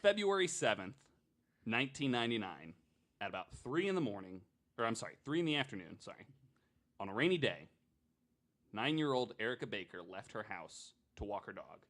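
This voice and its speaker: Male podcast host, on the low side, relaxed conversational true crime narrator, informal and jokey. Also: fairly quick. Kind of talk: casual true crime storytelling chat.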